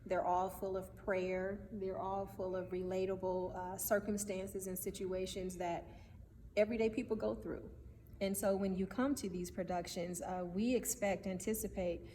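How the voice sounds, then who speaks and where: A woman's voice: mid-range voice, little pitch movement, moderate pace, warm tone. Female playwright interviewee, level delivery, earnest, far from the mic with faint background noise. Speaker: playwright and producer. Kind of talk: explaining her work in an interview. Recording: echoey hall, distant mic, faint noise.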